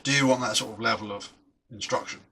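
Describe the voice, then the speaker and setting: Bright-voiced chatty man at a workbench. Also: on the low side, fairly even intonation, quick pace, a little hiss or hum. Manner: chatty and rambling, often restarting sentences. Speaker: model aircraft builder and channel host. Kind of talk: casual chat while working on a model.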